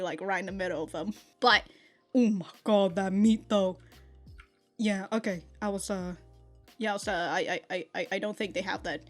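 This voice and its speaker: A woman's voice: mid-range voice, a bit bright. A woman giving casual, off-the-cuff reaction commentary in a slangy, profane, giggly manner. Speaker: anime reaction reviewer.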